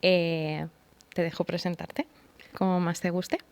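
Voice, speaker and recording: Low-voiced woman hosting a podcast. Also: level tone, slow, darkish tone, clear, resonant tone. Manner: brief supportive interjections and questions. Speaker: podcast host. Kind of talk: casual podcast interview.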